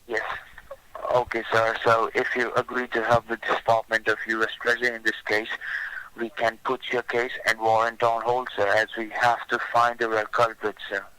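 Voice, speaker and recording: Male phone scammer, bright forceful tone, flat intonation, formal and deferential. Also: medium pitch, normal speed, clean tone, no echo, rough recording. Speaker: phone scammer posing as a government officer. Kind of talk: scripted phone pitch with improvised replies.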